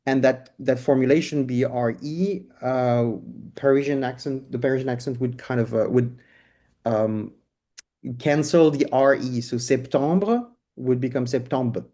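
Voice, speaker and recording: A man with a French accent speaks in English in a casual interview. His voice is mellow and relaxed, of middle pitch. He explains things haltingly, restarting sentences often. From France. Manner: hesitant, many restarts and fillers while explaining. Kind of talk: casual conversational interview answers.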